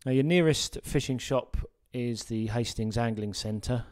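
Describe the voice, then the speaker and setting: A man narrating a sea fishing guide as a voice-over, relaxed and full of ums and uhs. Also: medium pitch, a little slow.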